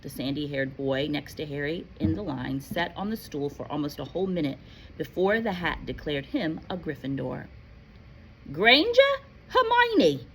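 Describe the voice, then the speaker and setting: A woman reading a story aloud in an animated, playful, expressive way, like a teacher. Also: sits low, moderate pace, slight background noise, low-quality recording. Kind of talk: expressive read-aloud narration with casual asides.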